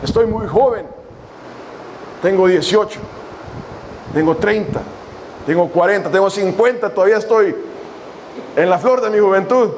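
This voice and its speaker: A man preaching a sermon as a pastor, reading scripture slowly and addressing his congregation with long pauses. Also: high-pitched, a little mellow, a little hiss or hum, poor audio. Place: church hall.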